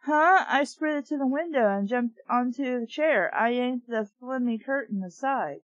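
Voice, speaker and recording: A woman's voice: a little high, moderate pace. A woman reading a storybook aloud and breaking off into snarky, exasperated, profane commentary. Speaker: reader and book reviewer. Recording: dull, echoey room recording.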